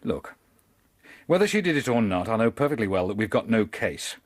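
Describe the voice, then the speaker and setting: Harassed policeman, widely swinging pitch. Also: big pitch swings, brisk, no echo, clean studio sound. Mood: defensive, pressured. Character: harassed male police inspector, defensive and weary. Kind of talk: radio drama acting.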